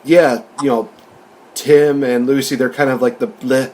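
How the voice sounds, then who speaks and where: A man's voice: mid-range voice, fairly even intonation, measured pace, darkish tone. Casual male reviewer, faint background noise. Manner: informal, conversational recapping. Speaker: podcast host reviewing films.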